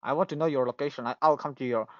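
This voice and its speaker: A young man with a Russian accent, hosting a casual joking chat on a voice call, stammering and excitable. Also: medium pitch, racing, no echo, lo-fi sound. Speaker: channel host. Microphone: phone on a voice call.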